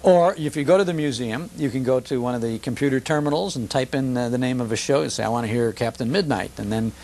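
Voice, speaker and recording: An older man with a gravelly voice, explaining and recounting things conversationally as a broadcaster being interviewed. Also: mid-range voice, fast talker, a little mellow, a little hiss or hum. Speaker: radio show broadcaster, interview guest. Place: television studio.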